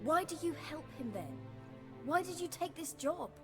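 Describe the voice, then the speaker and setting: An actress playing a defiant, bitter young baron's daughter in a video game, proud and curt. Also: female voice, high voice, very animated, normal speed. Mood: bitter, scornful. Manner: curt, proud, formal wording. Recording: music behind the voice.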